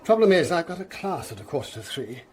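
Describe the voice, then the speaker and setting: A man's voice: fairly high pitch, very animated, fast, a little mellow, a little hiss or hum. A man playing an accommodating school second master in a radio drama, fussy, wordy and wryly hesitant. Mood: obliging, wry. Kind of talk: full-cast radio drama acting.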